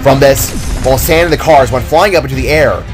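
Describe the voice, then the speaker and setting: A man's voice: medium pitch, a little fast. A man reads as the narrator of a fantasy adventure. His delivery is dramatic and scripted, in a mid-range voice with music underneath.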